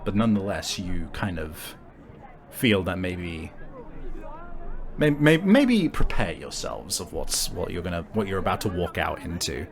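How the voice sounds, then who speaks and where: A man narrating a tabletop game as game master, improvising haltingly as he searches for words. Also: low voice, sing-song.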